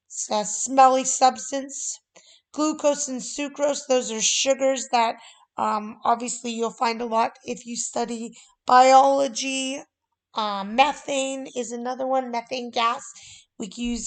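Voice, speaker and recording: A woman talks through a chemistry lesson at an easygoing pace. Her voice sits a little high. She often pauses on small fillers. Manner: relaxed, conversational, many fillers. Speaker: teacher.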